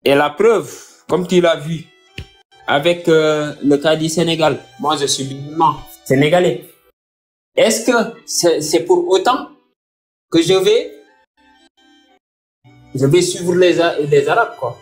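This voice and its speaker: A man from Senegal calling into a live debate, arguing haltingly with repeated words and asking to be heard. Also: fairly high pitch, faint music behind. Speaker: debate caller.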